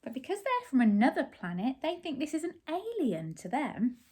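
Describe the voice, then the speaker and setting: A woman's voice: high-pitched, huge pitch swings, normal speed, mellow, a little hiss or hum. A woman reading a picture book aloud expressively, putting simple questions and explanations to young children. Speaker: children's story reader.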